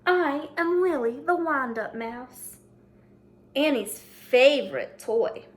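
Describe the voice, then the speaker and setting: A woman's voice: high voice, lively intonation, slow pace. A woman voicing a proud, contented male wind-up toy mouse in a storybook read-aloud.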